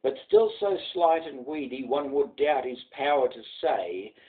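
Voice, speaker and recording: A man's voice: mid-range voice, expressive, unhurried, narrow, tinny audio. A man with an Australian accent giving a dramatic recitation of poetry. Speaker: poetry reader.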